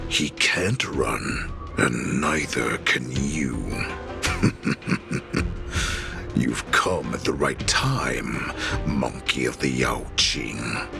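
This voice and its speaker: An actor playing a ruthless, proud wolf-beast warlord in a video game, speaking with slow menace and grand speeches. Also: male voice, extremely low pitch, vocal fry, full character voice. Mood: gloating, bloodthirsty. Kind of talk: video game villain acting. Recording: loud music under the voice.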